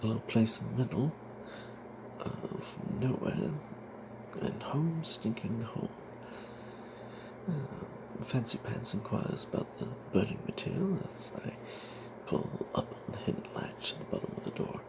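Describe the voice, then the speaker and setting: A man narrating a first-person fantasy story as ASMR in a breathy whisper, hushed and halting, with wry asides. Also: medium pitch, lively intonation. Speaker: storyteller narrating own tabletop character. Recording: noticeable background noise.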